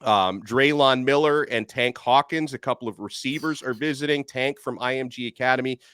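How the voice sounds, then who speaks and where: A man in his thirties hosting a sports podcast over a video call, giving an energetic, rapid rundown of recruiting news. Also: medium pitch, clear, resonant tone, dry recording, studio quality. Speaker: podcast host. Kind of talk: casual sports podcast chat. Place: home studio, video call. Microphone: desk microphone.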